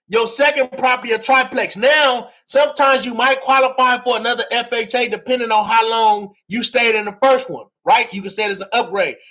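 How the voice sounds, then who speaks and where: A man giving a fired-up livestream lecture, loud and often yelling, repeating phrases and asking the audience to answer, his voice strained from shouting. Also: very high-pitched, rough recording. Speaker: livestream real estate teacher.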